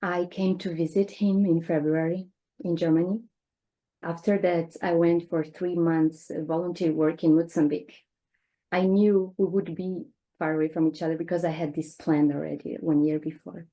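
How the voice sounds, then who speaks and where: Portuguese woman on a podcast, lowish mellow voice, calm, english not her first language. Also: poor audio. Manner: speaks second-language English with small slips, calm and reflective. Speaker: podcast guest. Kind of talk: conversational interview.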